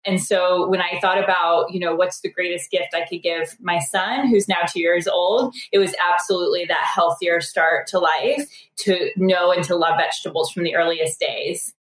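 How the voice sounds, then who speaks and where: A woman's voice: fairly low pitch, fairly even intonation. Woman in a roomy echo. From United States. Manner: quick, upbeat, laughs often, many fillers. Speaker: guest, startup co-founder. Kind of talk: casual interview chat.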